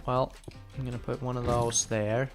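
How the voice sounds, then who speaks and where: Excitable young man streaming. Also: medium pitch, normal speed, faint music behind. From Finland. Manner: chatty, bursts into loud excited outbursts. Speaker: game streamer. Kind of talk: casual live gameplay commentary.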